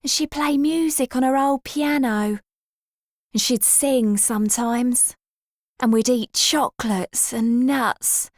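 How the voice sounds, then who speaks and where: A woman's voice: sits high, slow, dry recording, clean studio sound. An actress playing a wistful, homely woman reminiscing in folksy dialect in an audiobook drama. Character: wistful, homely woman recalling family times.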